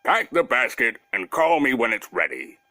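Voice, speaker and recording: Man putting on a bossy storybook bear, swinging wildly in pitch. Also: very animated, normal speed, sharp tone, dry recording. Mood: commanding. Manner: demanding, emphatic storybook delivery. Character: huge gruff male bear, bossy and gullible.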